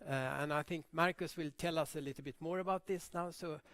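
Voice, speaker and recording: An older man introduces a guest speaker in a university lecture hall, speaking haltingly with many fillers. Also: on the high side, fairly even intonation, a bit bright, studio quality. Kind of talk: formal introduction.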